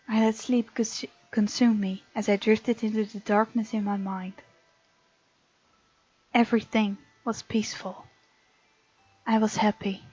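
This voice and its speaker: A woman's voice: mid-range voice, a little mellow. A woman hosting a game playthrough, reading visual novel narration aloud and adding her own commentary. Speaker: game let's-play host. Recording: dull sound, music behind. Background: game music.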